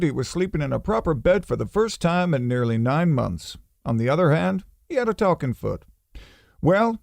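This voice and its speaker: A man's voice: a little high, gravelly, a little mellow, dry recording, studio quality. A man playing a chatty, distracted narrator of a comedy radio play, rambling and self-effacing with wry muttered asides.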